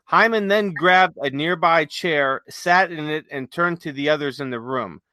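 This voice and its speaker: A man's voice: fairly high pitch, measured pace, pushed and bright, no echo. A man co-hosting a podcast in casual, enthusiastic, rambling explanations, sometimes reading from notes. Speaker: podcast co-host. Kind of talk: casual chat with some read-aloud notes.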